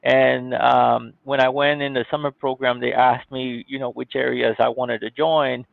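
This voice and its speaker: A thin, phone-like recording carries a man in a conversational interview. His voice is heavily creaky and gravelly, with very little room sound, and he explains things politely at a middling pitch. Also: flat delivery, normal speed, no room sound. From born in Cuba, grew up in Miami, United States. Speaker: podcast guest, IT company CEO. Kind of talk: conversational interview, telling own story. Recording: thin, phone-like call audio.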